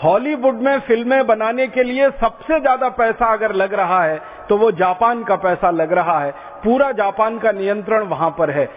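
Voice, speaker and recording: Very high-voiced man lecturing in a hall. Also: moderate pace, few pauses. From India. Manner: rhetorical, builds points with repeated parallel phrases. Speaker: public lecturer. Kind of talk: impassioned public lecture. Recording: tinny phone-like sound in a reverberant hall.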